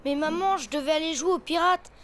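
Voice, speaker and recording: A woman acts a small cartoon elephant boy, very high-pitched. He is eager to please and easily daunted. Loud music runs behind the voice. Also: a little fast, close to an everyday voice. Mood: hesitant, timid. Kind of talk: French children's cartoon dialogue.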